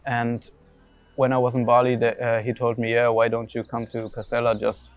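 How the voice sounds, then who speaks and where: A man's voice: medium pitch, monotone, moderate pace, muffled recording. A young man from Germany answering interview questions about his football career, hesitant, with many fillers and restarts. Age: young adult.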